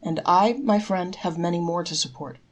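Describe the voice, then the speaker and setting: A woman voicing a kindly, devout old male charity collector in a storybook folktale, with a gentle, earnest, coaxing manner. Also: lowish voice, normal speed, lightly acted. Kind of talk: storybook character voice in a read-aloud folktale.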